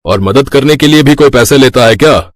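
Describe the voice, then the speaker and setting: A man's voice: mid-range voice, brisk, dry recording, natural voice. Man as sly, glib village shopkeeper. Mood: evasive.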